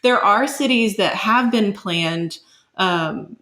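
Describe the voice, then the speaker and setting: A woman speaking as an expert guest in an interview, explaining in a measured, academic way and qualifying her points. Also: mid-range voice, bright, forceful tone. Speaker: podcast guest, city planning expert.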